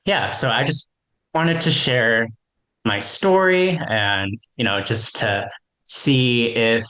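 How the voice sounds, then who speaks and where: A man's voice: medium pitch, takes it slowly, sharp tone, rough recording. A man who stutters, sharing a personal reflection as a webinar panelist, with frequent repeats and fillers.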